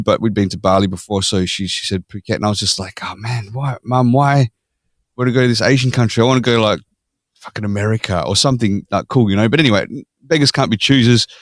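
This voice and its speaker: An Australian man with a fairly low voice talks through his life story as a podcast guest. He is easygoing and laughs often. The sound is close and dry. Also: dry recording. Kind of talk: casual interview chat.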